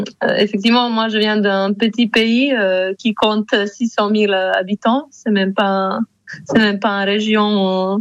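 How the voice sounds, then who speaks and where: A woman's voice: mid-range voice, no echo, muffled recording. A woman in her thirties with a Montenegrin accent, a handball player answering radio interview questions with many fillers and restarts. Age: 30s.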